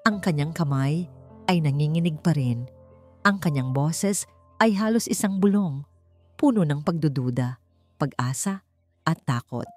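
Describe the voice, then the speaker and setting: A woman with a Filipino accent narrating a scripted romance story in slow, deliberate, dramatic phrasing. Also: low voice, expressive, darkish tone, soft background music.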